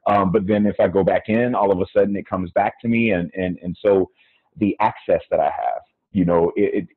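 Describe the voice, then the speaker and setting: A man's voice: sits low, fairly even intonation, a little fast, slightly rough, no echo. A middle-aged American man, a podcast guest, talking reflectively over an earphone mic on a video call from a small room, with many fillers and restarts. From United States. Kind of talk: casual conversation. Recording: phone-like narrow sound, dry.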